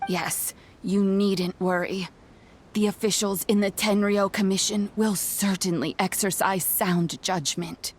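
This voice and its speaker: An actress playing a kindly, proud old granny in a video game, speaking fondly and without hurry. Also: female voice, medium pitch, fairly even intonation, slight background noise, close to an everyday voice. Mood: reassuring. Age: older. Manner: unhurried, fond, gently chuckling.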